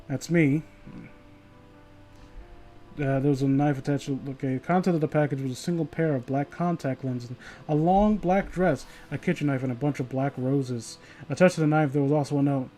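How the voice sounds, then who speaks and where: A man's voice: fairly high pitch, a little mellow. A tipsy man livestreaming, rambling casually and profanely as he chats and reads stories. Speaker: livestreamer reading online horror stories. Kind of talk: casual rambling livestream chat and story reading.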